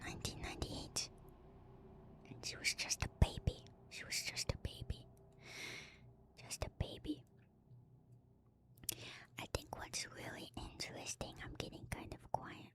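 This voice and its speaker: A woman streamer speaking in a soft, breathy ASMR whisper, gentle and musing as she reads lore and comments casually, softly repeating phrases. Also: a little slow, pauses often. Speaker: streamer and host.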